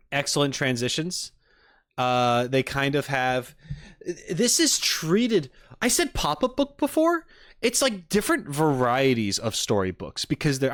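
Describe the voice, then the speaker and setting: A man's voice: mid-range voice, dry recording, clean studio sound. A man hosting a stream, giving casual, enthusiastic and analytical reaction commentary in a rambling way as he talks with chat. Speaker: streamer host.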